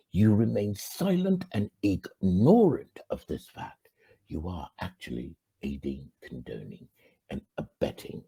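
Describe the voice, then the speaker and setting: Middle-aged man from Ghana hosting a channel, earnest reflective monologue, deep fry and a very dark tone. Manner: earnest, swinging from emphatic rises to quiet low endings. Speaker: channel host.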